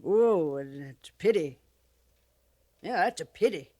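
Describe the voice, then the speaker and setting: A woman's voice: on the low side, animated, slow pace, loud music behind the voice. An actress playing a canny, sardonic old frontier trader woman with a rustic American accent, folksy and blunt, in a 1950s radio western. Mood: probing. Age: older. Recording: noisy vintage 1950s radio recording.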